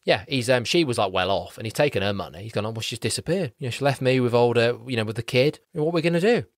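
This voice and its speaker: A man co-hosting a film podcast, talking in an animated, sweary and mocking way. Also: mid-range voice, fast talker, no echo, clean studio sound. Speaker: podcast co-host. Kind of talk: casual film review chat.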